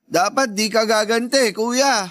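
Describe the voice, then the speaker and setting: An actor playing a drunk, meek, whiny youngest brother in a Tagalog radio drama, slurred and pleading. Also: male voice, sits high, slow, a bit bright, no room sound. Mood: meek protest.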